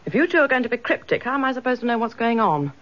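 An actress playing a detective's loyal, no-nonsense British wife in a naturalistic radio drama. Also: female voice, fairly high pitch, sing-song, very fast, a bit bright, muffled recording. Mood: inquisitive, insistent.

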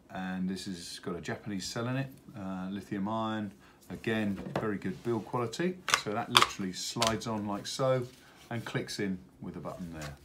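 A middle-aged man giving an unscripted product unboxing walkthrough, speaking haltingly with many hesitations. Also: fairly low pitch. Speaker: product presenter for a radio retailer. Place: indoors at a wooden table in an office. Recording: steady background room noise.

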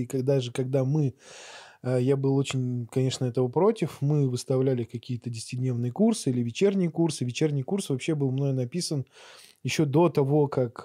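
Middle-aged male podcast guest, mellow husky voice, conversational and explanatory, close studio mic. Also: mid-range voice, dry recording. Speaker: podcast guest, barber and teacher. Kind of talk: conversational interview talk. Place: small acoustically treated podcast studio. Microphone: boom-mounted studio microphone up close.

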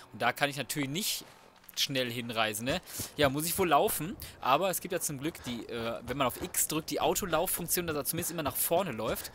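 A man's voice: medium pitch, a bit bright, a little hiss or hum. A man giving casual, slangy and crude commentary on a video game, talking to himself as he plays. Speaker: let's play gamer.

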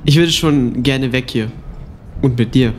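A man's voice: medium pitch, a little slow, darkish tone, soft background music, lightly acted. A man playing a loyal, cautious young guard in an audio drama. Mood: earnest, willing. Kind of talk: amateur radio play acting.

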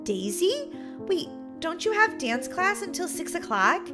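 A woman voicing an earnest, gently dramatic mother in a children's roleplay story. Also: extremely high pitch, very animated, a little slow, over music, exaggerated voice. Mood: puzzled.

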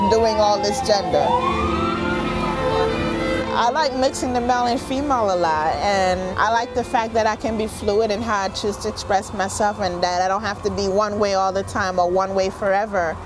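A woman's voice: mid-range voice, poor audio. A woman in her forties, a native Haitian Creole speaker, talks earnestly and reflectively in a documentary interview on a city sidewalk. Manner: reflective, earnest, gesturing with hands. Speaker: performance artist. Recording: music bed under the voice.

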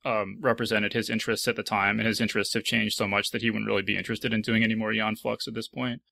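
A man hosting a casual panel discussion, speaking thoughtfully and haltingly as he repeats words. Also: on the low side, level tone, creaky, bright, forceful tone, no echo, studio quality. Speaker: podcast host.

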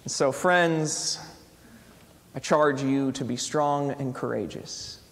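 A man's voice: medium pitch, slow, creaky, a bit bright. A man in his thirties, a preacher speaking conversationally to his congregation in a church. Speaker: preacher giving a benediction. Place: large church sanctuary, indoors. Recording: reverberant church hall.

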